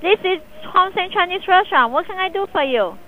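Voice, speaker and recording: A woman's voice: very high voice, expressive, a little fast, over a noisy crowd. A woman working the phone at a restaurant, confused and politely asking the caller to repeat himself. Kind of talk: answering a phone call. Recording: tinny phone line with faint hiss.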